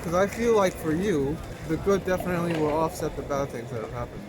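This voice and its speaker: A man's voice: on the high side, fast talker, background noise. A man asking questions as an interviewer in a casual, conversational style. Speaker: street interviewer.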